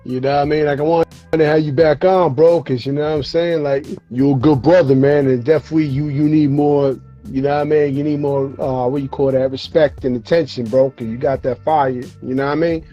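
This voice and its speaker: A man's voice: on the high side, fairly even intonation, a little fast, few pauses, lo-fi sound, soft background music. A man hosting a casual hip-hop interview show over a video call, tired and losing his voice, talking in a laid-back, slangy way with plenty of fillers and cursing. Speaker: show host.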